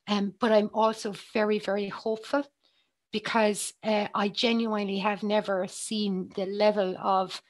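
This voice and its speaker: A woman, a psychologist speaking earnestly on a panel over a video call, with many ums and restarts. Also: medium pitch. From Ireland. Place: small room with bookshelves, indoors. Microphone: headset on a video call.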